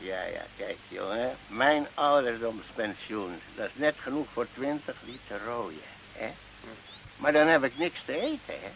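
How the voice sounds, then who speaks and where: A man's voice: mid-range voice, animated, measured pace, gravelly, a bit bright. An actor playing a sly, rambling old male goatherd in a radio drama, stammering, repeating himself and chuckling. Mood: confiding, wry. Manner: stammering, repetitive, frequent chuckling and questioning tags. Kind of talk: Dutch radio drama acting. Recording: muffled old radio recording, faint noise.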